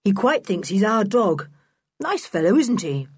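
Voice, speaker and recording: A woman voicing a polite, quick-thinking boy in a children's storybook. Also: mid-range voice, husky. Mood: polite, cheerful. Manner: polite, lively.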